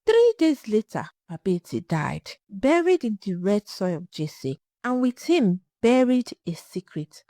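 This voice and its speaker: A woman narrating a scripted folktale in a dramatic, suspenseful way, with short measured phrases. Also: medium pitch, very animated, dark tone, no room sound, clean studio sound. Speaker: storyteller.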